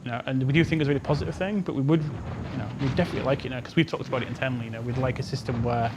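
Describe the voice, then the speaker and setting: Loud vehicle noise behind, man, quick pace, casual technical explainer, halting with fillers. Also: medium pitch, fairly even intonation. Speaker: game developer answering questions.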